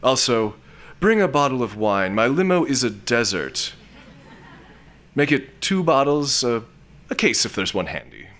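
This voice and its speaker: An actor playing a vain, lecherous, cowardly space lord in a florid, grandiose manner for a comic sci-fi audio drama. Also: male voice, medium pitch, sing-song, a little slow, long pauses, a bit bright. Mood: smarmy, seductive.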